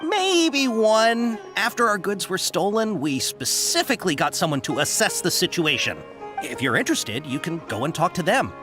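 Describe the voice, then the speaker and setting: A hesitant, salesy caravan merchant. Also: male voice, high-pitched, very animated, moderate pace. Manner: hesitant, full of uhs, salesy. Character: fawning merchant caravan leader. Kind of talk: game voice acting. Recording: game audio over faint music.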